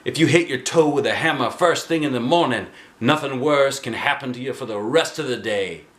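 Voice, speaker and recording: A man voicing a muscular, pompous self-defense guru who solemnly spouts wise nonsense in a drawn-out delivery. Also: medium pitch, a bit bright, reverberant, pitched above the performer's own voice, natural voice.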